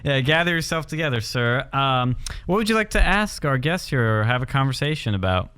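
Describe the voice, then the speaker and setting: A man's voice: mid-range voice, big pitch swings, fairly quick, fairly bright tone, smooth, no room sound. A man hosting a call-in radio show, taking callers in an easygoing manner. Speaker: radio host.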